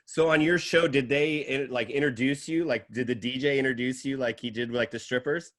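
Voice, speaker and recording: Easygoing man hosting a comedy chat. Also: mid-range voice. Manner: relaxed, joking, quick reactions. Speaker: podcast host. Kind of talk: casual interview chat.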